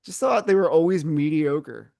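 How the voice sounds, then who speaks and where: A man's voice: a little high, expressive, normal speed, vocal fry, a little mellow, dry recording. Blunt male co-host, casual swearing, dry close sound. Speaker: podcast co-host. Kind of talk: casual chat.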